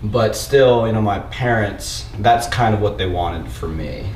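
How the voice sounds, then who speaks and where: A young man talking as a podcast guest in a relaxed, reflective way, full of "you know" fillers. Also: fairly low pitch, a little slow. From born in Japan to a US military family. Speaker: podcast guest, marketing agency owner. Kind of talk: casual podcast interview. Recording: roomy, distant mic, faint noise.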